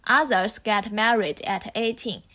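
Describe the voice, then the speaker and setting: A young woman in her twenties, originally from southeast China, reads a scripted narration for a documentary. Her delivery is calm and reflective, steady and measured. Her voice sits at a medium pitch. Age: 20s. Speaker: narrator of a student film.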